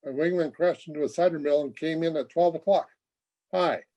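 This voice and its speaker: A man's voice: a little high, brisk, mellow, close to an everyday voice. A man playing an earnest, very polite twenty-year-old Air Force officer in an old-time radio comedy. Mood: proud, polite. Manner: courteous, earnest, wordy.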